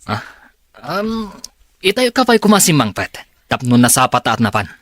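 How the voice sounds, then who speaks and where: A man's voice: mid-range voice, very animated, moderate pace, a bit bright, no echo. A man playing a curt commanding young boss. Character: demanding young male boss, Daniel.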